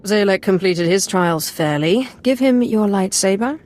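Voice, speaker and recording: An actress playing a cool, commanding Sith Lord in a video game, with a dry, imperious manner. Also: female voice, mid-range voice, lively intonation, a little slow, darkish tone, natural voice.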